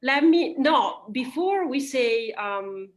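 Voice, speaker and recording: A woman's voice: high-pitched, lively intonation. Italian-born woman agent in casual chat. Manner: chatty, with fillers and quick laughs. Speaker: real estate agent. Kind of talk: interview answers.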